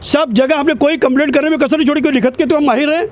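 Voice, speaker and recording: A man's voice: very high voice, steady tone, rapid-fire. A man in his seventies speaking at an outdoor protest, indignant and insistent, repeating his points. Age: 70s. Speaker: protest spokesman. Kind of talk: street interview.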